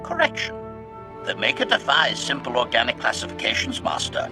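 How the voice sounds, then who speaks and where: A man's voice: medium pitch, huge pitch swings, a little fast, music in the background. A man voicing a cold, calculating, loyal assassin droid in a video game, with clipped, formal delivery. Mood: devout, dutiful. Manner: clipped, formal, announces each statement with a one-word label. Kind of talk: scripted video game dialogue.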